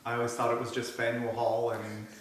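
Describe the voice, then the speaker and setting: A man's voice: medium pitch, monotone, fairly quick, heavy reverb. A young man co-hosting a vlog, with teasing, playful banter. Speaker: podcast co-host. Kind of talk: casual vlog chat.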